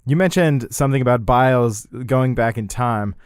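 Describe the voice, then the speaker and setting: A man's voice: medium pitch, normal speed, clear, resonant tone, dry recording, clean studio sound. A man hosting a podcast interview, asking questions with brief affirmations. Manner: brief affirmations, light laughs. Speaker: podcast host. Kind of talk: casual interview.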